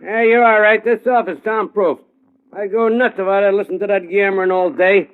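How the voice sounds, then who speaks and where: An American actor playing a harried, hustling talent agent in a radio drama, slangy, excitable and pleading. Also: male voice, high-pitched, moderate pace, clean tone, natural voice. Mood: brash. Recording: tinny, band-limited old radio recording.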